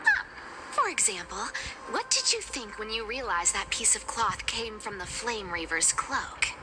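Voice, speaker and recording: Lively, sly young trickster girl. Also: fairly high pitch, a little slow, low-quality recording. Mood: playful, teasing. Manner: teasing, breezy, giggly. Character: sly, playful young female trickster demigod treasure hunter. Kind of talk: video game voice acting. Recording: music under the voice. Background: game music.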